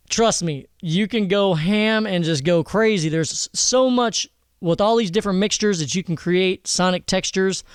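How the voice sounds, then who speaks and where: A man hosting a casual software tutorial in an informal, slangy way, swearing now and then. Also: sits high, clean tone, no room sound, clean studio sound. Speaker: tutorial host.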